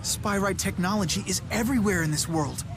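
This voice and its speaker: A man's voice: high voice, fairly quick, noisy background. An actor playing an earnest, polite and curious young man in a video game.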